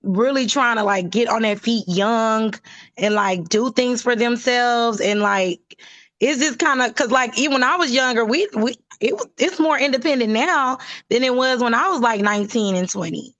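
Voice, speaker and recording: A young woman from Texas with a hoarse voice, chatting casually and haltingly as a livestream caller. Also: on the high side, fairly bright tone, no room sound. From Houston, Texas, USA. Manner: halting, frequent use of like. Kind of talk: casual chat.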